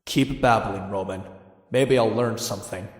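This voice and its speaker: A man's voice: on the low side, normal speed, natural voice. Man playing a cocky vigilante in heavy reverb. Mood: brooding, wry. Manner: wry, wisecracking. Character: cocky, sardonic young male vigilante antihero. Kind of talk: noir first-person narration and dramatic dialogue. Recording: echoey, long reverberant tail.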